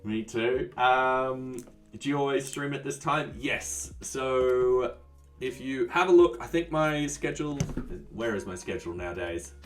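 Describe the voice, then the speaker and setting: A man's voice: medium pitch, unhurried, recorded from a distance. A man hosting a casual live coding stream, answering chat in an easygoing way that often trails off. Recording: voice a bit off-mic, soft music behind.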